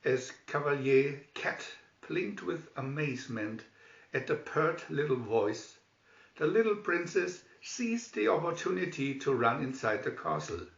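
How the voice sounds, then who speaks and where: Echoey, slow, animated male storyteller. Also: on the high side, vocal fry. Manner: expressive, theatrical storytelling. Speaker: storybook reader. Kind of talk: scripted story narration for children. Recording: echoey room, distant dull microphone.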